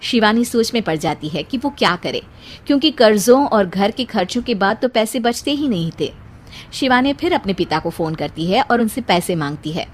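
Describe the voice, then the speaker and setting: A woman's voice: medium pitch, expressive, moderate pace. A woman delivering scripted storytelling narration for cartoon family moral stories.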